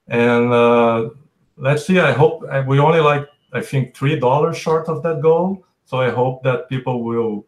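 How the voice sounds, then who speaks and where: Brazilian guest, Portuguese native, chatty explainer, echoey room sound. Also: male voice, medium pitch, unhurried. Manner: explains at length with restarts. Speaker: podcast guest. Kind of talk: casual chat. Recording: roomy echo.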